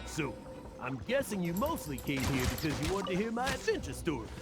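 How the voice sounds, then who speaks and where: A pompous veteran adventurer who brags about himself. His high-ish voice leaps wildly in pitch as he boasts. Game music plays behind him. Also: very animated, fairly quick. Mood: boastful. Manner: pompous, self-important. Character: boastful aging male adventurer.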